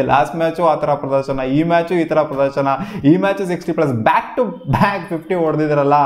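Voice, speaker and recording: Young man, excited slangy cricket vlogger, rapid delivery, slightly high voice, roomy home studio sound. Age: young adult. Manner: excited, slangy, gestures a lot with his hands. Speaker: cricket YouTuber. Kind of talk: post-match analysis vlog. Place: small home studio with gaming chair and LED lights.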